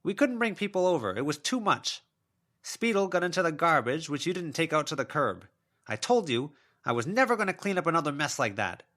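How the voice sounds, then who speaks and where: Man acting out older woman's ghost, audiobook, raised pitch, aggrieved, self-excusing, bright animated delivery. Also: brisk. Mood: pleading, defensive.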